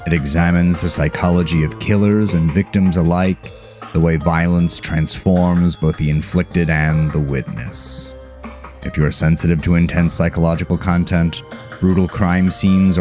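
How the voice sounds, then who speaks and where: A man narrating a scripted dark horror story in a grave, measured, dramatic way, drawing out his words. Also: extremely low pitch.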